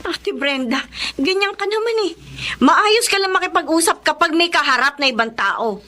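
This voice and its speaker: A woman's voice: extremely high pitch, lively intonation, normal speed, sharp tone, heavily acted. A woman acting an aggrieved, pleading sister-in-law who fights back, with a Filipino accent, in melodramatic radio drama. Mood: bitter.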